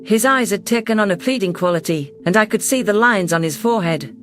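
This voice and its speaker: A man voicing a caring, composed female alien leader who narrates a sci-fi story in a gentle, reflective way. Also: high-pitched, animated, a little fast, soft background music. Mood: tender. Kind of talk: first-person sci-fi story narration and dialogue.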